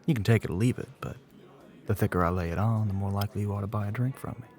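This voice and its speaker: Guy playing flirty southern bartender, middle-aged, deep creaky drawl, teasing and folksy, asmr roleplay audio. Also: racing, a little mellow, soft background music, lightly acted. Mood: flirty, smooth.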